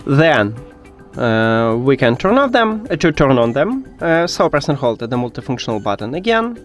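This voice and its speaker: Man giving step-by-step tech instructions, lively pitch. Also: normal speed, faint music behind. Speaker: tech tutorial presenter. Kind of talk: step-by-step spoken tutorial.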